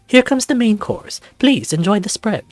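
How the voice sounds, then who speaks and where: A man's voice: sits high, animated, moderate pace, no echo, faint music behind, pitched above the performer's own voice. A man voicing a flamboyant, gracious snow leopard who hosts guests at a resort in a theatrical, courtly way, in a visual novel. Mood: proud gracious. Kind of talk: visual novel character acting.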